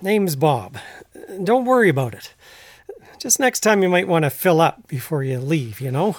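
Radio drama: plain-spoken rural husband in middle age, gruff, firm but decent, dry studio sound. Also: male voice, a little high, big pitch swings, moderate pace, dry recording. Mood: kindly, earnest. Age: middle-aged. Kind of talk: naturalistic audio drama acting.

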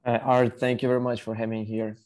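A man's voice: medium pitch, level tone, fast, darkish tone. A young man from Ukraine with a Ukrainian accent, answering as an executive guest in a conversational podcast interview. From Kyiv, Ukraine.

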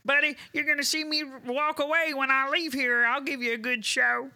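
Man as a down-home Southern yokel, high and bright, dry close sound. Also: little pitch movement, dry recording, full character voice. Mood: folksy. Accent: Southern US drawl (put on). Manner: slow homespun drawl, plainspoken. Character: folksy Southern male yokel with grass in mouth. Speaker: improv guest character.

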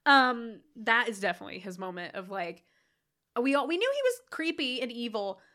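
A woman's voice: on the high side, very animated, normal speed, sharp tone. A woman co-hosting a casual film-review chat, sounding stuffy and nasal, giggling and gushing with lots of "like" and restarts. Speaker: podcast co-host.

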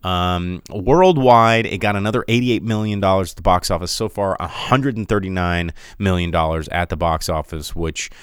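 Male podcast host, chatty and meandering, resonant low voice, recorded dry and close. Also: normal speed, hardly any pauses, clean tone, no room sound. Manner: chatty and rambling, with many fillers and restarts. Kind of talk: casual movie box office rundown.

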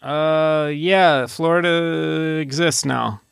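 A man's voice: on the high side, very slow, creaky, clear, resonant tone, dry recording, studio quality. A man hosting a casual podcast, bantering in a rambling, dryly joking way. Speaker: podcast host.

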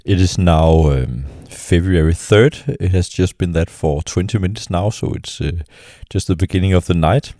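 A middle-aged man talks through his solo podcast at home, his voice husky after a weekend of singing. The tone is very low and mellow, with a dry, close sound. He thinks aloud, pausing and restarting his sentences, and faint music sits in the background. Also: dry recording. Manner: halting, with many restarts and filler sounds. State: voice lowered and husky from a weekend of singing and late nights. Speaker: podcast host. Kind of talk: casual solo podcast monologue. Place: at home on the couch in his apartment.

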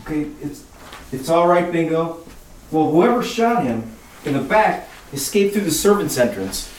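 Woman doing a low wisecracking detective guy, lively, far off in an echoey room. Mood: dry. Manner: breezy, wisecracking, brisk. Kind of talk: amateur retro radio drama acting. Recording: echoey room, off mic, faint noise.

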